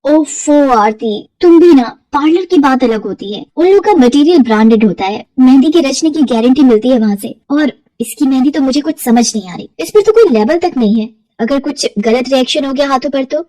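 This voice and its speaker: A woman's voice: sits high, lively intonation, fairly quick, few pauses, warm tone, rough recording. A woman voicing a haughty, fussy well-off lady in a cartoon moral story, with a complaining manner. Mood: disdainful. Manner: dismissive, complaining.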